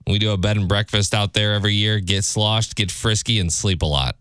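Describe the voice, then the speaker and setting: A man's voice: fairly low pitch, fairly even intonation, a little fast, a bit bright, smooth, no echo, clean studio sound. An American man co-hosting a radio show with casual banter and sports updates. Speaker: radio co-host and sports reporter. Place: radio studio.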